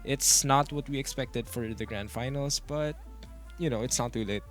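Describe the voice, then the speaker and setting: A woman's voice: very deep voice, moderate pace. A woman with a Filipino accent giving casual panel commentary as an esports co-caster. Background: faint background music.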